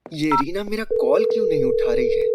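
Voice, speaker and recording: A woman playing a casual, hurried young college man in a dramatized love story. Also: low voice, animated, very noisy. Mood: puzzled.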